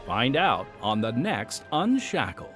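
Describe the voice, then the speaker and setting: A man's voice: medium pitch, big pitch swings, slow pace, music in the background. A man hosting a podcast in a scripted, radio-style announcing delivery. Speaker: podcast host.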